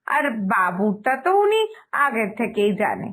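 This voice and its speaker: A woman's voice: mid-range voice, big pitch swings, a little slow, a bit bright. A woman voicing a fussy, stubborn older mother-in-law in a cartoon story, explaining herself firmly. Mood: firm, dismissive.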